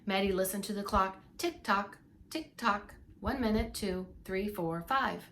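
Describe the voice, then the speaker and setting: A woman reading a picture book aloud to young children as a preschool teacher, expressive and emphatic, with little asides to her listeners. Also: mid-range voice, a little slow, a little hiss or hum. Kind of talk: expressive storybook read-along for young children.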